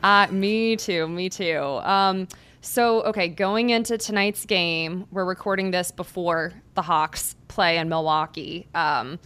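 A woman's voice: lowish voice, slow pace, fairly bright tone, clean tone. A woman hosting a sports podcast as a beat reporter, introducing and interviewing her guest with bubbly enthusiasm. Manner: enthusiastic and bubbly, gushes with praise.

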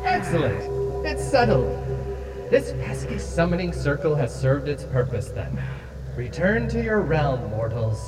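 An actor playing an imperious spirit in a fantasy audio drama, speaking in a commanding, ceremonious way. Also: male voice, fairly high pitch, big pitch swings, unhurried. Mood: haughty, commanding. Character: imperious slow-speaking spirit dealing in bargains. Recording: distant cavernous reverb under loud music.

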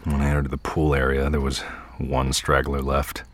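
Very deep, weary, troubled man. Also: completely flat delivery, normal speed. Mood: weary, brooding. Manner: terse, plain-spoken. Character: weary, troubled man, terse and blunt, narrating his night. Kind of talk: first-person audio drama narration and dialogue. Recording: background ambience and noise.

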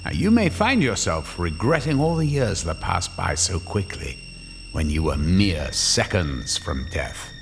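A man playing a sinister, theatrical horror host who narrates in an ominous, drawn-out way. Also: low-pitched, very animated, creaky, noisy background, exaggerated voice. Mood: ominous, musing. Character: sinister, philosophical horror host musing on death. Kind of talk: dramatic horror anthology narration.

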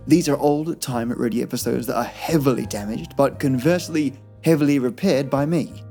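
A man's voice: medium pitch, a little fast, vocal fry, dark tone. A man hosting a podcast, giving a chatty, playful and wry scripted introduction. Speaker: podcast host. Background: faint music.

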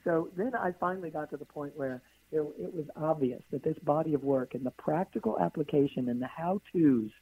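A hoarse woman, a guest author on a radio interview, who answers in long flowing runs of stacked phrases. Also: sits low, brisk, no echo. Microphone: phone call. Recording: tinny phone line.

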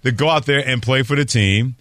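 A male sports radio host with a mid-pitched voice, bantering in a lively, emphatic way. He repeats words for effect, and the sound is close and dry, as in a studio. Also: a little fast, dry recording.

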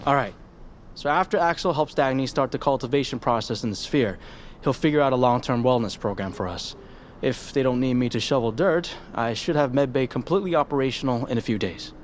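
A man's voice: mid-range voice, fairly quick, slight background noise, close to an everyday voice. A man playing a practical, organized medic among survivors in audio fiction, speaking matter-of-factly. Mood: businesslike. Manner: matter-of-fact.

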